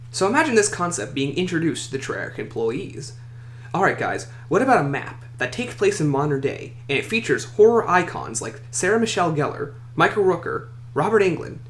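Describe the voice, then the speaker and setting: A man's voice: a little high, fairly bright tone. A man hosting a countdown list video, reading scripted commentary and opinion. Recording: echoey room, faint noise.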